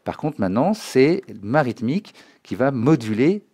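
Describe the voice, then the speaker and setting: A man giving an informal spoken software tutorial in a didactic way, often checking that the listener follows. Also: medium pitch, sing-song, normal speed. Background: synthesizer and music demo sounds.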